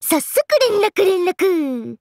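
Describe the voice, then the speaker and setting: A woman's voice: very high voice, expressive, drawn-out words, background noise, full character voice. An actress voicing a fun-loving, trendy young party girl in an anime game, exuberant and breezy with slangy speech. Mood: excited. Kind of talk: anime game character lines.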